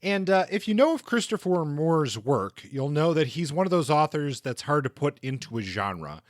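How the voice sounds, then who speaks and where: A man's voice: on the high side, very animated, moderate pace, dry recording, clean studio sound. A man co-hosting a podcast and delivering a book review. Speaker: podcast co-host.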